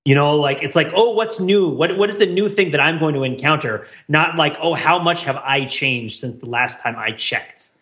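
A man with a bright, forceful voice pitched a little high talks casually as a podcast host. He rambles and circles back over his phrases as he reasons aloud. The recording is slightly muffled and lo-fi. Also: normal speed, few pauses. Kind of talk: casual panel discussion.

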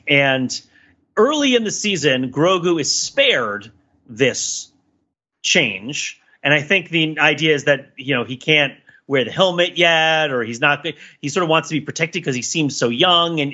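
A man's voice: mid-range voice, bright, forceful tone, muffled recording. A man hosting a podcast, talking casually and analytically in a wordy way that circles around his point. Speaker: podcast host. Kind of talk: casual analytical discussion of a TV show.

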